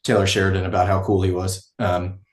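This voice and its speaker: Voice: low voice, flat delivery, fairly quick. An acting class participant chatting casually, often hesitating and searching for words, in a roomy, echoing recording. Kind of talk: casual chat.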